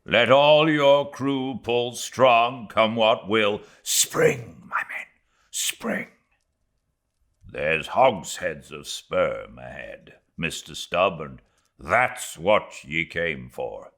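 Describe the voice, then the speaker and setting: A man voicing a stern, earnest whaling first mate in an audiobook, dropping into urgent hushed asides to his crew. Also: fairly low pitch, animated, extremely slow, full character voice. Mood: grave, urging. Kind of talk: audiobook character acting.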